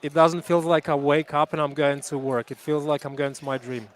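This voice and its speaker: A man's voice: on the high side, steady tone, brisk. A man in his thirties, a jiu-jitsu coach, answering interview questions earnestly and reflectively, with frequent restarts. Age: 30s.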